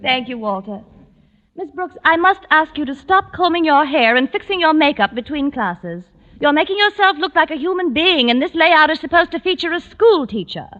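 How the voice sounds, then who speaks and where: A condescending career-woman editor in an old radio sitcom. She is high and animated, arch and bossy in every phrase. The 1940s recording rings with a long reverb. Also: normal speed, muffled recording. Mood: brisk, bossy. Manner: arch, coolly patronizing. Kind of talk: 1940s radio situation comedy acting.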